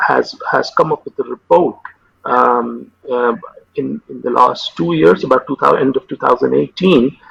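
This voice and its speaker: A man whose first language is Urdu, with a very gravelly, bright-edged voice that is also husky. He speaks haltingly, stumbling over repeated words and fillers. The sound is dry and dull, like webcam audio from a home video call. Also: medium pitch, no echo. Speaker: consultant psychiatrist guest. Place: home room with window.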